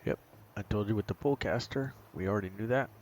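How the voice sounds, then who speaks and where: A man's voice: lowish voice, fast. A man giving casual commentary as he hosts a video game guide, with game sounds behind him. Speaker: gaming guide host. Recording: voice-over with game noise behind.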